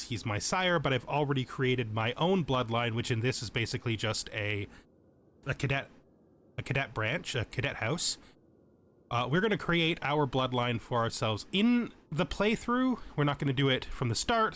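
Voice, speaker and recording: A man's voice: mid-range voice, fairly bright tone, slight background noise. A man giving casual tutorial commentary on a game, explaining as he goes and thinking aloud. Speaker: let's play tutorial host.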